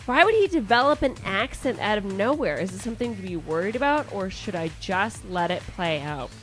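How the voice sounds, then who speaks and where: A woman's voice: medium pitch, fairly quick, faint music behind. A woman with a Midwestern accent hosting a casual comedy podcast, reading a listener letter and riffing on it with lots of restarts. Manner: animated, lots of restarts and asides. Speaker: podcast host.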